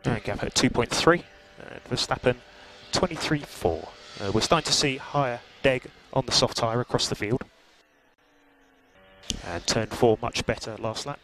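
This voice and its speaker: A man working as a race engineer, calmly reading out gaps and lap times over team radio. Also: medium pitch, normal speed. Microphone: headset radio. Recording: noisy team radio line.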